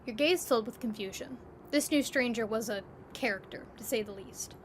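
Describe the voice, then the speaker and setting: High-voiced woman narrating a story. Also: fairly quick, heavy background noise. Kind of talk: scripted second-person story narration.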